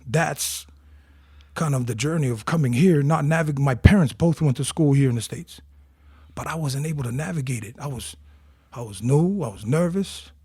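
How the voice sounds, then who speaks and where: Interview guest: husky man in his forties from Tonga, animated storyteller, dry close mic. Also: fairly high pitch, normal speed, no room sound. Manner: animated storyteller, acts out quotes.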